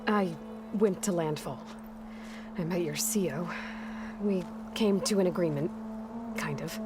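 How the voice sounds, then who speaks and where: An actress voicing a blunt, self-reliant young huntress in a video game, with a dry, understated delivery. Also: female voice, lowish voice, measured pace, heavy background noise, natural voice. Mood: wary. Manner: dry, understated, matter-of-fact. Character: guarded, blunt, self-reliant young female machine hunter. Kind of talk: naturalistic video game acting.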